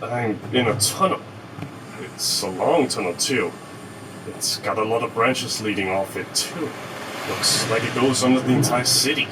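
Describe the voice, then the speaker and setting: A man's voice: medium pitch, unhurried, distant mic, background noise, lightly acted. A man playing an adventurous, persuasive utility worker in an audio drama. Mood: dazed, curious.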